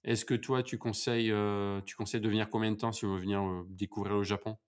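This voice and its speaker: A male podcast host who interviews a guest in a relaxed, chatty way, with many fillers and repeated words. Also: fairly low pitch, quick pace, smooth. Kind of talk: casual interview, then a scripted outro.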